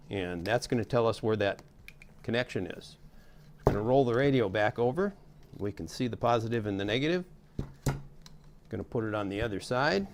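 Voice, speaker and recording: A man's voice: on the low side, vocal fry, slight background noise. A middle-aged man giving a casual, hands-on tutorial in a folksy, step-by-step way. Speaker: how-to host demonstrating a repair.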